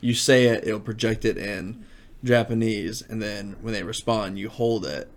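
A man's voice: on the low side, fairly even intonation, normal speed. A man chatting casually as a podcast co-host, telling stories in a slangy way and acting out remarks. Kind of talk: casual chat.

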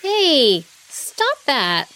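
Squeaky, excitable young dragonfly princess, voiced by a woman. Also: takes it slowly, heavily acted. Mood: indignant. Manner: protesting, squeaky exclamations. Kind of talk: children's storybook character voice.

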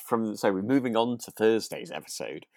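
Man, deep voice, dry close sound, casual podcast chat, hesitant phrasing, easily amused. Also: expressive, normal speed, creaky, a little mellow, dry recording. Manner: hesitant, often rephrasing and repeating words; amused, chuckling often. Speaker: podcast co-host. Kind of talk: casual chat recapping a radio drama.

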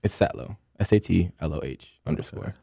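A young man on a podcast, chatting casually and animatedly, often jumping into excited bursts. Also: low-pitched, a little fast, creaky, husky, dry recording, clean studio sound. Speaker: podcast co-host, aspiring rapper.

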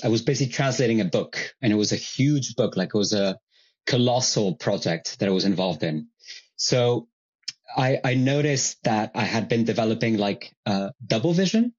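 A man in his thirties, a podcast guest, chatting casually and telling his story in a relaxed, wry, self-deprecating way over a video call. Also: fairly low pitch, slightly rough. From Italy. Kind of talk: casual conversation. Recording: dull lo-fi video call audio.

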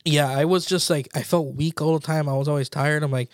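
A man's voice: on the high side, steady tone, fairly quick, no echo, clean studio sound. A man in his late twenties chatting casually as a podcast co-host, informal, slangy and self-deprecating. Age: late 20s. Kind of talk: casual chat between friends.

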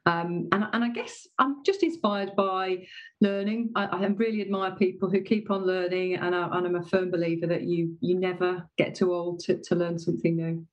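A woman's voice: fairly low pitch, steady tone, normal speed, muffled recording. A woman with a Midlands accent, from a utilities operations background, talking in a friendly, self-deprecating way as a podcast guest. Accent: Midlands English. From English Midlands.

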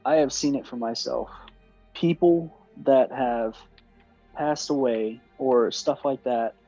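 Male podcast co-host, warm and mellow, emphatic, filler-heavy talk, dull lo-fi audio. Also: mid-range voice, husky. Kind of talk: casual podcast chat.

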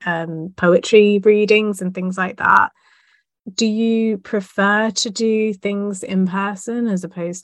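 A woman's voice: medium pitch, no echo, clean studio sound. A woman hosting a casual podcast interview, hesitant and self-deprecating, laughing often. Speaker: podcast host and editor.

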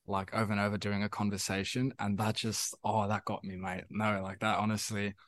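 A man's voice: fairly low pitch, little pitch movement, fairly quick, fairly bright tone. Young Afrikaans-speaking South African man. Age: young adult. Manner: rambling, repeats himself, many fillers. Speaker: musician guest. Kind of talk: casual interview chat.

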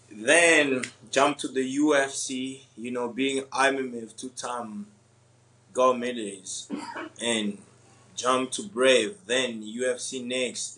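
A young man from Congo with French or Swahili as his first language, answering interview questions in a halting way with many pauses and laughs. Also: mid-range voice, fairly bright tone. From Democratic Republic of the Congo. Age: young adult. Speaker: MMA fighter guest.

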